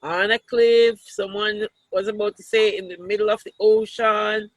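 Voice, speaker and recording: A woman reads a picture book aloud to children in a deliberate way, pausing to point things out and ask questions. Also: mid-range voice, steady tone, no room sound. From Jamaica. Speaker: storytime reader, teacher.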